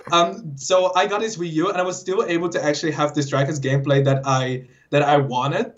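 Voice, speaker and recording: A young Dutch man with a Dutch accent, a guest chatting casually and rambling quickly with lots of fillers and sudden lively jumps in his voice. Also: on the high side. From Netherlands. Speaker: guest YouTuber. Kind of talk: casual interview chat. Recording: dull lo-fi audio.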